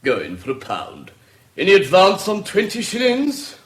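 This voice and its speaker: An actor playing a pompous, wheedling auctioneer with a British accent in a radio drama. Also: male voice, high-pitched, wildly swooping pitch, normal speed, roomy sound. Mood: brisk. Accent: British English. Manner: pompous, salesmanlike patter. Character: theatrical wheedling male auctioneer, easily affronted.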